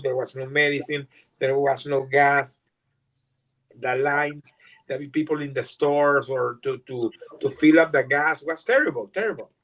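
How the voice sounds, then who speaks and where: An older Venezuelan man who grew up speaking Spanish, answering interview questions in English in a halting way, with many repeated words and restarts. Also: fairly high pitch, a bit bright, low-quality recording. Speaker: guest.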